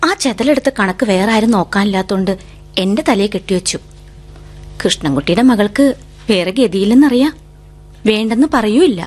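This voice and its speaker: An actress playing a bookish, wistful young woman with quiet defiance, in an introspective, dramatic radio drama style. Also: medium pitch, expressive, moderate pace, long pauses, lightly acted. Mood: bitter, sighing. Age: young adult. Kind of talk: radio drama acting with monologue and poetic recitation.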